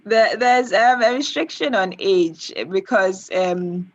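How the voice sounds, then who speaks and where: A woman explaining things off the cuff in a panel discussion, pausing to find her phrasing. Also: mid-range voice, lo-fi sound. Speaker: air traffic controller answering questions.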